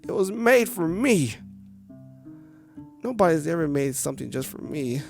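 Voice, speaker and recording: A man voicing an upset, sentimental sad clown puppet in a video game, with loud, hurt outbursts. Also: fairly high pitch, very animated, measured pace, creaky, soft background music, pitched above the performer's own voice, heavily acted. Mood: hurt, accusing.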